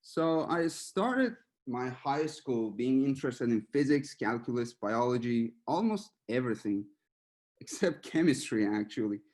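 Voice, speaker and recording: Iranian-born man giving a relaxed webinar talk. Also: medium pitch, a little slow. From Iran. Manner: relaxed, searching for words, with small laughs. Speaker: machine learning researcher giving a webinar.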